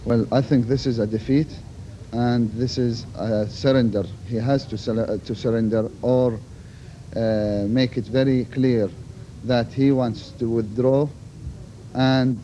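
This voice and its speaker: A man giving a halting, unscripted answer in a news interview. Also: mid-range voice, fairly even intonation, dark tone. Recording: dull, slightly noisy location sound.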